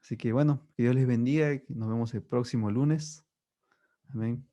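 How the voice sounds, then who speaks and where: Host, male, mid-pitch mellow voice, easygoing and halting delivery. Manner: relaxed, hesitant, many fillers like "bueno" and "¿no?". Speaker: podcast host. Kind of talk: casual chat.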